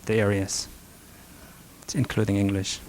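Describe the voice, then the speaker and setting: A middle-aged Afghan man speaks in a low, level voice, stopping and restarting often as he answers questions from the audience. The talk sounds like an archival recording from a library meeting room, with a faint hiss underneath. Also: level tone, low-quality recording. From northern Afghanistan. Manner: halting, frequent restarts and fillers, soft-spoken. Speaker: rug merchant giving a talk.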